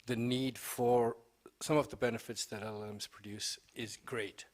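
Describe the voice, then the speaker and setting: A man's voice: medium pitch, fairly even intonation, normal speed. A man speaking spontaneously in a panel discussion, laying out background for a question.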